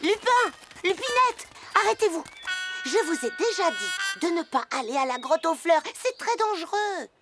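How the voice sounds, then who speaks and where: A woman voicing a harried, worried rabbit parent who scolds in a hurried manner in a children's cartoon. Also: very high voice, big pitch swings. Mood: worried scolding. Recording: loud music behind the voice.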